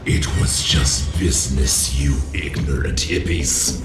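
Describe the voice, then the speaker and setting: An actor playing a pompous, menacing demon merchant in a fantasy video game, theatrical and sarcastic. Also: male voice, very deep voice, slow pace. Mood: bitter. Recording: roomy, distant, music underneath.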